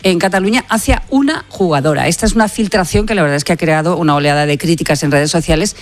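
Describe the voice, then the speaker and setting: A woman's voice: on the low side, fast talker. A woman with an accent from Spain presenting on the radio, with conversational news commentary and an ironic, emphatic delivery.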